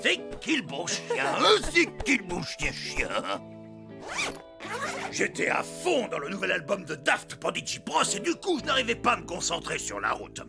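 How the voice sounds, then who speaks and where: A man voicing an excitable, scatterbrained, gushing boss in a cartoon dub. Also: high-pitched, a little slow, vocal fry, music in the background, exaggerated voice. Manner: gushing, effusive. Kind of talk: exaggerated cartoon dubbing.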